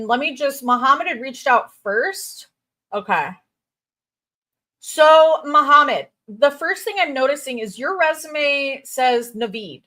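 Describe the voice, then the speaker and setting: A woman's voice: high-pitched, bright, forceful tone. A woman in her thirties with a Chicago accent, a crypto recruiter chatting casually on a livestream and reading viewer comments. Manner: bubbly, chatty, quick asides and fillers.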